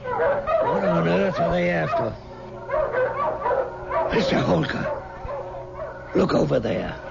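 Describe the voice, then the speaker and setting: An actor playing a gruff, tense county sheriff in an old radio drama. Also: male voice, fairly high pitch, wildly swooping pitch, slow, full character voice. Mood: wary. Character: tense small-county sheriff hunting a fugitive. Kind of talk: 1970s radio drama acting. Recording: dull old radio recording, background noise.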